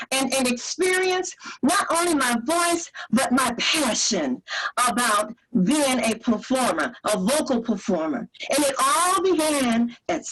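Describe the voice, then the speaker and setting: Slow, halting woman vocal teacher. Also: sits high, a bit bright, poor audio. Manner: halting, many fillers and restarts. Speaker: vocal performer and instructor. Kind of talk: online presentation. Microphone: video call.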